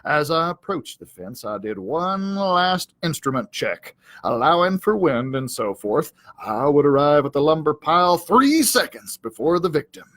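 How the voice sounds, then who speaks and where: A man's voice: on the high side, big pitch swings, measured pace, hardly any pauses, fairly bright tone, no room sound. A man voicing a self-important, aggrieved cowdog who tells his storybook tale in a pompous, melodramatic, wordy way.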